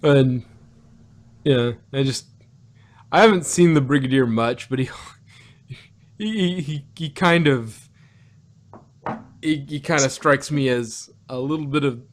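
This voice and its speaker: A man hosting a casual review chat, halting and rephrasing as he thinks out loud. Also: medium pitch. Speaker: audio drama review podcast host.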